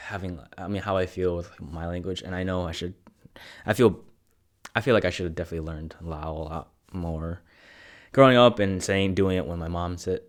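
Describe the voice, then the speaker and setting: Low voiced guy hosting a chill podcast, lots of yeah yeah yeah, easygoing and joking. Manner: quick strings of repeated "yeah" as backchannel; relaxed, joking and encouraging. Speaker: podcast host. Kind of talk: casual interview chat.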